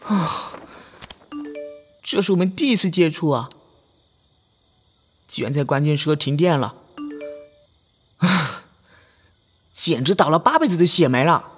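A man's voice: a little high, animated, measured pace, pauses often, in a large hall. A man playing a flustered young college student in an audio drama, easily exasperated, with a hesitant, sighing delivery. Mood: dismayed. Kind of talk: Chinese online audio drama acting. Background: faint music.

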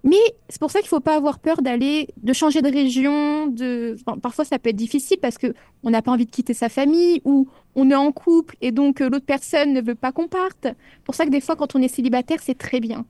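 A chatty radio host, quick to jump into the conversation and keep it moving. Her voice is high and bright, and it sounds close and dry with no echo around it. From Paris suburbs, France. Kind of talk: casual on-air chat. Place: radio studio.

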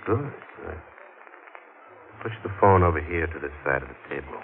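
A man's voice: extremely low pitch, animated, moderate pace, gravelly, exaggerated voice. A male actor with an American accent playing a menacing, injured gunman in an old-time radio thriller, curt, commanding and sardonic. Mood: curt menace. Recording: muffled, noisy old radio recording.

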